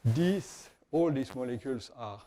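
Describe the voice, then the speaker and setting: Mellow-voiced male professor with Belgian French accent. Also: mid-range voice, expressive, unhurried. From Wallonia, Belgium. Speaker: professor, guest lecturer. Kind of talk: scientific conference lecture.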